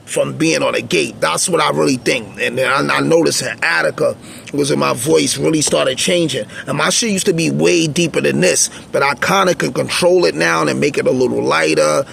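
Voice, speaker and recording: A man with a raspy, intensely gravelly voice that sits somewhat high for a man. He talks in a blunt, streetwise, rambling way, like an unscripted monologue to his viewers. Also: moderate pace, a bit bright, slight background noise. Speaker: channel host, former prisoner.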